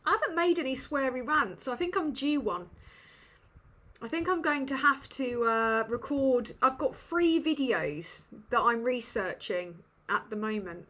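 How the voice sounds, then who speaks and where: A woman's voice: high-pitched, animated, a little slow, pushed and bright. A woman with a British English accent hosting a casual livestream Q&A from a dim room at home, rambling and sweary with lots of ums. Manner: rambling and sweary, with many fillers. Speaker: livestream host.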